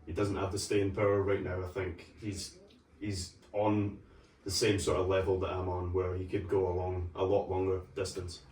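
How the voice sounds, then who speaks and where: A man's voice: low-pitched, completely flat delivery, moderate pace, hardly any pauses, darkish tone, distant mic. A British arm wrestler talking bluntly, swearing and restarting his sentences. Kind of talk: casual chat. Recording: roomy, from off mic.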